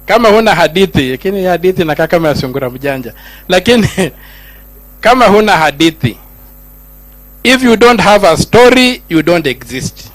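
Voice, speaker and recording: A man's voice: high-pitched, animated, a little fast, fairly bright tone, no room sound, low-quality recording. A middle-aged man with a Kenyan accent giving a speech as a senator, moving between Swahili and English with rising, emphatic lines. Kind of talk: public speech at a gathering.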